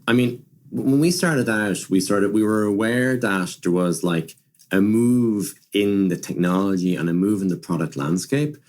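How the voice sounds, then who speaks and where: A man with a low voice discusses technology as a podcast guest. He works through his thoughts aloud in a conversational way, circling back, rephrasing and peppering sentences with small filler words. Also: a little mellow. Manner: repeats and rephrases, punctuates with "like" and "you know". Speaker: podcast guest, machine learning director.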